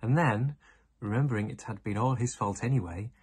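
A man's voice: lowish voice, fairly quick, darkish tone, breathy edge. A young man reading a children's story aloud. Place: indoor room.

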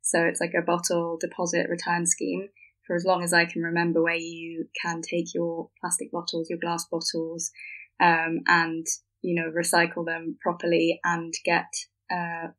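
A woman's voice: fairly low pitch, completely flat delivery, moderate pace, fairly bright tone, poor audio. A woman from Germany speaking as a podcast guest in a thoughtful, earnest, conversational way. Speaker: podcast guest, filmmaker and actor.

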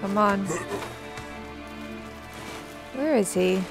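A woman's voice: mid-range voice, moderate pace, gravelly. A young woman giving casual reaction commentary as a host while watching a video game. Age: young adult. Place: indoor studio room. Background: game soundtrack music.

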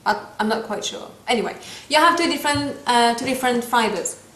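A woman's voice: on the high side, brisk, a bit bright, echoey room, rough recording. A woman in her thirties with a French accent, giving a casual makeup tutorial to camera, with playful asides and searches for English words. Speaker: makeup vlogger. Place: small room indoors, seated.

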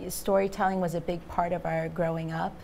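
A woman in her forties, a Korean native speaker, talks in a low, gravelly voice with little rise and fall. She recounts her past in a measured, reflective way on a studio panel. A faint room hum sits behind her. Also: flat delivery, a little mellow. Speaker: panel guest, journalist. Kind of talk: personal story in a panel talk. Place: studio stage with a small audience.

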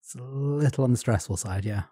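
A man's voice: mid-range voice, a little fast, mellow, dry recording, clean studio sound. A man with a Yorkshire accent co-hosting a podcast, chatting in a self-deprecating, jokey way. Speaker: podcast co-host. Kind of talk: casual podcast discussion.

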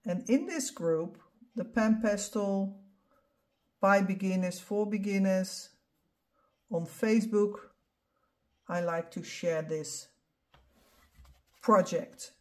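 A middle-aged Dutch woman talks through her painting in English, her second language. She speaks unhurriedly, with a mellow, soft tone, explaining each step calmly as she demonstrates. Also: mid-range voice, takes it slowly, long pauses. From Netherlands. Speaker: art instructor. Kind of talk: painting tutorial voice-over.

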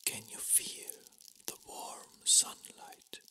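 Very slow whispered ASMR meditation guide. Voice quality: breathy, unvoiced whisper. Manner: soft, soothing, slow phrases with long pauses. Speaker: meditation guide, Reiki master.

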